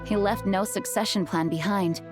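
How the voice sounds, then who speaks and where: An actress playing a dutiful knight leader in a game, formal and composed, a little weary. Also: female voice, medium pitch, steady tone, moderate pace, music in the background, close to an everyday voice. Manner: formal, careful, sighing. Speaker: acting grand master of the knights.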